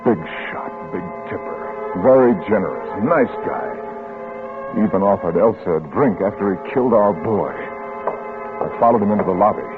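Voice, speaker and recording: A man's voice: lowish voice, sing-song, normal speed. An actor playing a bitter, brooding bereaved father, tense and narrating in a 1950s radio drama on a muffled old recording with music. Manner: tense, brooding, stammers in dialogue.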